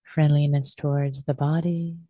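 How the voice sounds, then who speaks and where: A woman teaching a guided meditation in a gentle, unhurried manner, leaving long pauses between short phrases. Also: deep voice, little pitch movement. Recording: muffled, roomy sound.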